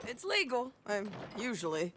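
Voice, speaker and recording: A woman's voice: on the high side, sing-song, normal speed, noisy background. An American woman acting a brash, hard-living female bounty hunter in a Western video game, with a drawling, folksy way of talking. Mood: casual, wry. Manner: drawling, folksy rural speech. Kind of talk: video game dramatic dialogue.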